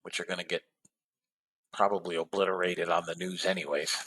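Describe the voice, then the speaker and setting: A man hosting a live trading webinar, talking casually to his viewers and calling them guys and fellas, a bit hoarse. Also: low voice, little pitch movement, a little fast, fairly bright tone, low-quality recording. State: slightly hoarse voice. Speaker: trading room host.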